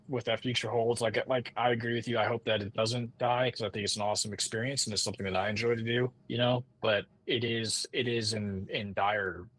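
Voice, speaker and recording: A man's voice: medium pitch, fairly bright tone, rough recording. A man chatting casually as an interview guest, informal and rambling, often circling back to restate his phrases. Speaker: composer, podcast guest. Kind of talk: casual conversational interview talk.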